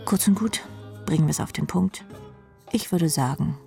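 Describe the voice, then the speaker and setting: A woman's voice: sits low, moderate pace, gravelly, mellow, soft background music. A woman playing a jaded, sardonic rock drummer who narrates her own life drily in a radio play. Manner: dry, sardonic. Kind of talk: scripted first-person radio drama narration.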